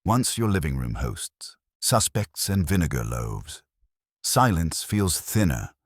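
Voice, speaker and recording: Male narrator, bass, dry close recording, wry, hardboiled, scripted detective audiobook. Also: big pitch swings, slow, husky, dry recording. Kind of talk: scripted first-person detective story narration.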